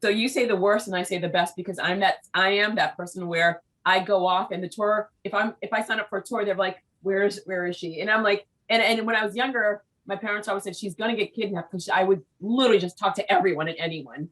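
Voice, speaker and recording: A woman's voice: mid-range voice, fairly even intonation, fast talker, hardly any pauses, fairly bright tone, rough recording. A woman from the United States hosting an interview show, bubbly and talkative, restarting her sentences often. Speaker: show host. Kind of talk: casual interview chat.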